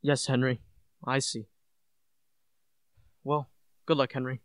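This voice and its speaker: A teenage male student actor playing a sensible, dismissive gentleman in a radio play, brisk and matter-of-fact. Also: medium pitch, little pitch movement, clean tone, no echo, clean studio sound. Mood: reassuring, brisk. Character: sensible, dismissive gentleman, loyal old friend.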